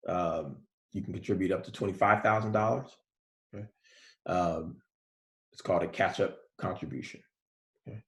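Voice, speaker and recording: A male college professor giving a relaxed, soft-spoken lecture online, with many fillers. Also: low voice, moderate pace, vocal fry, pauses often, echoey room.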